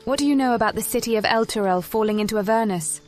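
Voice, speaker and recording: An actress voicing a calm elven sorcerer heroine who asks measured questions. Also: female voice, on the high side, faint music behind, lightly acted.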